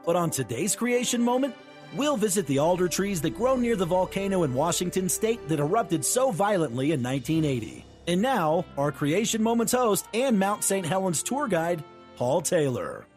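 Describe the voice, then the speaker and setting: Lively, higher-pitched male program announcer. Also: normal speed, faint music behind. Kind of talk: scripted radio intro and outro.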